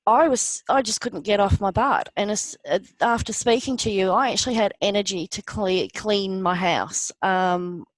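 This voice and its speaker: A woman's voice: medium pitch, dry recording. Earnest woman, dry close sound. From Australia. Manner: earnest, halting with many ums. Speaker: interview guest. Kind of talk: personal testimony.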